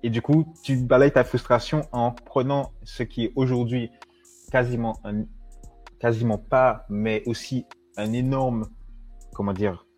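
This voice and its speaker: A man's voice: medium pitch, steady tone, a little slow, darkish tone, soft background music. A man hosting a podcast in casual, slangy chat, circling back to rephrase and recap as he goes. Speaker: podcast host. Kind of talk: casual chatty interview, slangy.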